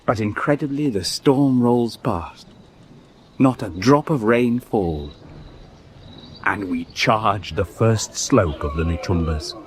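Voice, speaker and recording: Reflective British expedition narrator, lowish voice, slow dramatic delivery over noisy ambience. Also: male voice. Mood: grave, suspenseful. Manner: measured, deliberate, suspenseful. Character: reflective British naval expedition member narrating his adventure. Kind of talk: dramatic first-person radio drama narration. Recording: clear background ambience noise.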